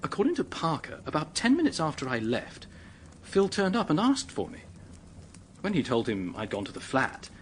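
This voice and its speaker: An actor playing an earnest, polite young British secretary who is anxious and hesitant, in a radio drama. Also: male voice, a little high, a little hiss or hum. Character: earnest, flustered young English male secretary, helpful and anxious.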